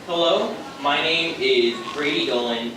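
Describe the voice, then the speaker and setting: A man's voice: a little high, unhurried. A teenage boy from Michigan, the lead builder, introducing himself plainly at a live event. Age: teens. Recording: echoey hall, distant mic, noisy crowd.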